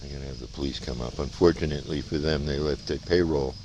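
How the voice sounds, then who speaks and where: Very deep-voiced man chatting over outdoor noise. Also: fairly even intonation, quick pace. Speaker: vlogger showing farm life. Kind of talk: casual rambling outdoor chat. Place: outdoors on a farm and riding in a vehicle. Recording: noisy outdoor recording, vehicle and insects.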